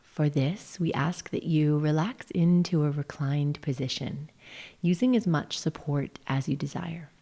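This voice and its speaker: Mellow, low-pitched woman guiding meditation. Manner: soothing, gentle, measured, often trailing into breathy whispers. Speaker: yoga nidra guide, session host. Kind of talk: guided meditation narration.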